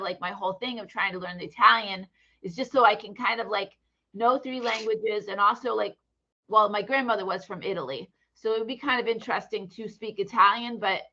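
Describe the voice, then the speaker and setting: American woman teacher, easygoing and halting, bright voice, muffled lo-fi call sound. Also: mid-range voice. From United States. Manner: relaxed, hesitant with many fillers. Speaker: English teacher. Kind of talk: casual online conversation.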